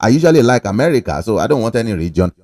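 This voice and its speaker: A young man talks a viewer through a screen-recording tutorial in a lively, up-and-down voice with a salesman's push. His tone is mellow and relaxed rather than bright. It is recorded dry and close to a desk mic. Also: fairly low pitch, very fast, no room sound. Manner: animated, talks to the viewer directly, pushy salesman-like emphasis. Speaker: online money-making tutorial host.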